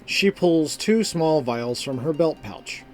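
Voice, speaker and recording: A man narrating a scripted fantasy story in a measured, deliberate, dramatic manner. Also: on the high side, animated. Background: background music.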